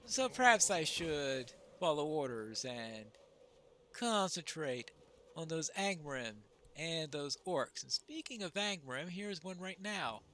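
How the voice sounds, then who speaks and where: A man's voice: a little high, lively intonation, slow pace, fairly bright tone. A man narrating his video game playthrough in a casual, wry, musing way. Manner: wry, musing, mock-polite.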